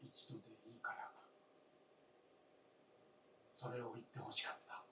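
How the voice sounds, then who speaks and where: An actor playing a frail, grieving old husband in a staged radio drama, speaking haltingly in hushed murmurs that surge with feeling. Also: male voice, medium pitch, flat delivery, lightly acted. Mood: wistful reproach. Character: grieving, frail old husband longing for his late wife. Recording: roomy stage, distant mic, faint noise.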